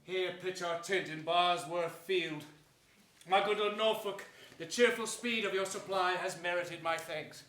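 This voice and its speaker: Bold scornful king, bright and ringing. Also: high-pitched, measured pace, faint music behind. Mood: commanding. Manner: declamatory, sardonic. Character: bold, scornful king mustering for battle, merciless. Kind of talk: theatrical Shakespearean staged reading. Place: theater stage before an audience, indoors. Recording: echoey theater stage.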